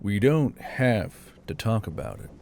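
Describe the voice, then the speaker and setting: A man voicing a gruff, bearded military officer, dry but kindly, in low-key audiobook dialogue. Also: wildly swooping pitch, a little slow, darkish tone, slight background noise, heavily acted. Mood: quiet concern. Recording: music behind the voice.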